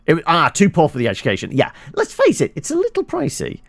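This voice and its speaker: A man's voice: on the high side, wildly swooping pitch, fast, vocal fry, no room sound, clean studio sound. A man streaming a game with casual, wry commentary and rambling asides to his viewers. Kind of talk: casual live playthrough commentary, chatting with viewers.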